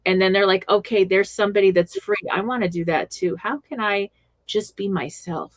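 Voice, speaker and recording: A woman's voice: medium pitch, normal speed, no echo. A woman radio host interviewing a guest, giving encouraging short affirmations and asking questions.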